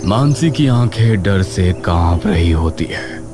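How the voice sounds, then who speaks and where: Slow, dramatic male horror narrator. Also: sits low, lively intonation. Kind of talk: scripted horror story narration. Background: background music.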